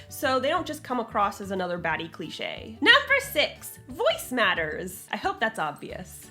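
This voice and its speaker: A woman's voice: sits high, bright, forceful tone. A woman host presenting scripted writing advice in a blunt, sassy, emphatic way with punchy delivery.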